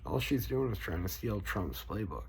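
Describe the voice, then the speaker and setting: A man's voice: lowish voice, very animated, moderate pace, creaky, husky. A man with a Boston accent ranting at length, swearing constantly and rambling. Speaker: political vlogger. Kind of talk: profane rambling rant to camera.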